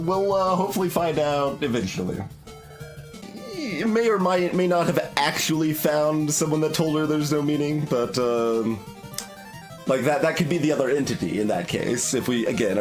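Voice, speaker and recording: A man giving casual, analytical game commentary, thinking aloud in a hesitant way. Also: medium pitch. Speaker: video game streamer. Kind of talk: casual analytical live playthrough commentary with poem read aloud. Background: music.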